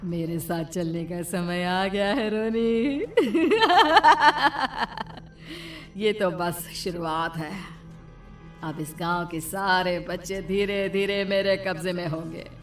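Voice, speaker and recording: A woman voicing a vengeful, cackling female witch ghost who sells bananas in a cartoon story, gloating and drawn out, over soft music. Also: on the low side, steady tone, slow.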